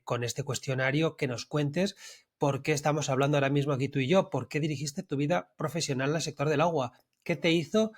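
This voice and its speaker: A man hosting a podcast, putting questions to a guest in a friendly, chatty manner. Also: mid-range voice. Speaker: podcast host and interviewer. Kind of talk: conversational interview.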